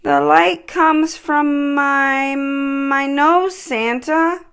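A woman's voice: high voice, fairly even intonation, extremely slow, fairly bright tone, pitched above the performer's own voice, heavily acted. A woman voicing a shy, eager young male reindeer in a storybook, earnest and childlike.

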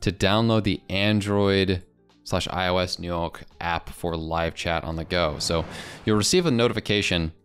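A man in his twenties with an American accent, presenting a casual software demo into a close desk microphone in a small home studio. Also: deep voice, moderate pace, faint music behind. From United States. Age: 20s. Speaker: presenter of software review videos. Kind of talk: casual product demo narration.

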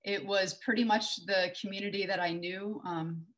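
A woman's voice: mid-range voice, steady tone, normal speed, fairly bright tone. A woman in her forties from Texas giving a conversational conference talk over a video call, with many fillers and restarts. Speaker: conference speaker. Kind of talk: online conference talk with slides. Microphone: webcam on a video call.

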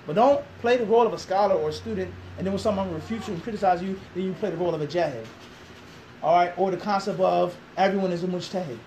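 A man's voice: high voice, quick pace, darkish tone, a little hiss or hum, poor audio. A man in his thirties answering an interview in a small classroom, emphatic and full of rhetorical questions. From Philadelphia. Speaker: interviewee, Islamic teacher.

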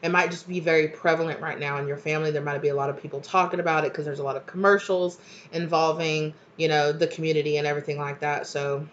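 A woman's voice: low voice, steady tone, fast, slight background noise. A woman in her thirties, a little stuffed up from a cold, giving a chatty, reassuring tarot reading to her webcam in a home kitchen, with many fillers and asides. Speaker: tarot reader. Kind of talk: casual channeled tarot reading to camera. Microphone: webcam at desk distance. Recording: slightly dull webcam audio.

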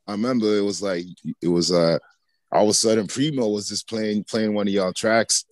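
A man's voice: fairly low pitch, moderate pace, no echo. A man hosting a casual hip hop podcast over a video call, hyped and slangy, often talking over his guest. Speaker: podcast host. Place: home studio with posters behind.

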